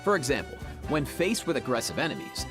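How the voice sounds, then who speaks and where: Man, game showcase presenter, enthusiastic and explanatory, lively swooping intonation, music clearly behind. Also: mid-range voice, a little fast. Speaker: presenter of a game preview program.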